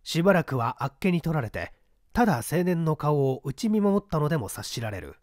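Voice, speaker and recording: Expressive male audiobook narrator. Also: fairly high pitch, fairly quick, no echo, clean studio sound. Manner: expressive, theatrical reading.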